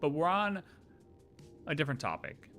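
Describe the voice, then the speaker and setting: Live-stream reactor, bright forceful voice, casual and playful, short interjections over game music. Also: medium pitch, wildly swooping pitch, normal speed.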